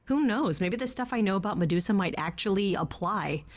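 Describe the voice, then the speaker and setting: A woman's voice: medium pitch, expressive, fairly quick, natural voice. A woman voicing a loyal, nerdy young best friend in a video game, with a wry, teasing, naturalistic delivery. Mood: teasing, affectionate. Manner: wry, teasing, hesitant asides.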